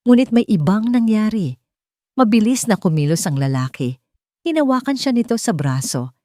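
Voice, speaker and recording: A woman narrates a scripted romance story in short, suspenseful, deliberate phrases. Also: medium pitch, sing-song, warm tone, no room sound, clean studio sound. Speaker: narrator of romance audio stories.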